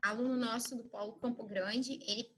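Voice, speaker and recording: A woman's voice: fairly high pitch, steady tone, moderate pace. A woman from Rio, politely moderating an academic panel and reading out questions as host.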